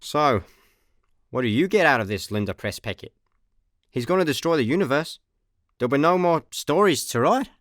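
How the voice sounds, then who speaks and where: A man's voice: a little high, animated, moderate pace, long pauses, fairly bright tone, dry recording, clean studio sound. A man playing a laid-back, wry space layabout, droll and unimpressed, in a comic sci-fi radio play. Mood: mock indignant. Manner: droll, unimpressed, mock-dramatic.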